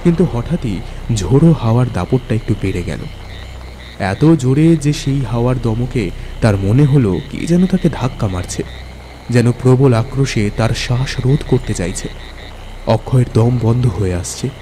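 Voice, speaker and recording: A man's voice: mid-range voice, lively intonation, normal speed, warm tone, slight background noise. A man narrating a scripted ghost story as a dramatic storyteller. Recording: music bed under narration.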